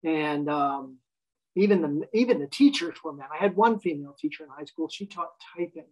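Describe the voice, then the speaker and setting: A man's voice: a little high, expressive, normal speed, a little mellow, low-quality recording. An American man in his fifties, a guest telling his life story in a wry, self-deprecating way. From United States. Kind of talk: casual interview conversation.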